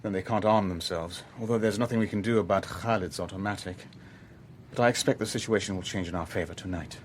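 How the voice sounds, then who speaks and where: An actor playing a cool-headed ship owner who bluffs and narrates his own thriller in a measured, controlled way for a radio drama. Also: low voice, slight background noise, close to an everyday voice. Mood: calm, guarded. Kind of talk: radio drama acting and first-person narration.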